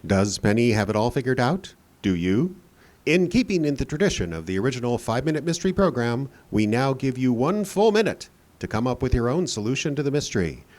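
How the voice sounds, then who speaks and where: A man's voice: medium pitch, sing-song, a little fast, a little mellow. A man announcing a live radio drama show in an old-time radio style. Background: light guitar music.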